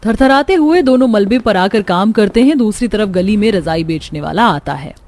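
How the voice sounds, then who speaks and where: A woman's voice: mid-range voice, expressive, moderate pace, darkish tone. A woman giving scripted narration as the storyteller of a family tale.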